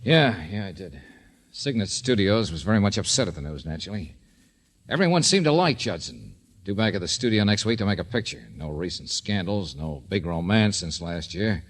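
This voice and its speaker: A hardboiled radio detective. His voice sits low but moves in lively swoops. He is brisk, assured and wisecracking, in 1940s radio drama style. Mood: businesslike. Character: wry, brisk, tough male private detective.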